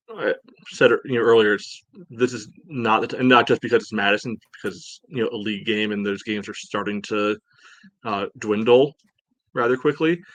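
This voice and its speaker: A man co-hosting a sports podcast, giving casual analysis in a halting way full of verbal padding. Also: lowish voice, a bit bright, no echo, poor audio. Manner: halting, peppered with "you know". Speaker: podcast co-host.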